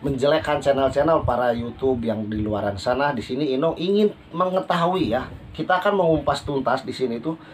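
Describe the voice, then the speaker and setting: A man hosts a casual interview podcast. His voice sits at a middle pitch and he speaks politely. The amateur recording has some light background noise. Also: fairly quick, hardly any pauses. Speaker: podcast host and beginner YouTuber. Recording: noisy amateur phone recording.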